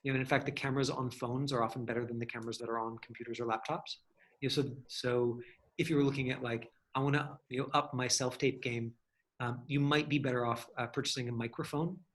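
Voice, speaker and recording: A man's voice: medium pitch, steady tone, fast, fairly bright tone. A man leading a casual online class as an acting teacher, thinking aloud in a rambling, hedging way as he answers questions.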